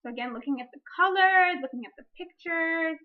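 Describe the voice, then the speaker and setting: High-voiced woman teaching through a read-aloud. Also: a little fast. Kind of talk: picture-book reading with teaching explanation. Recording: dull lo-fi recording.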